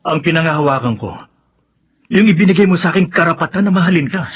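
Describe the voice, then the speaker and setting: Lovesick Filipino young man, highish husky voice, earnest, pleading, radio drama, slightly dull sound. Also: expressive, fast talker. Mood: ardent, pleading. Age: young adult.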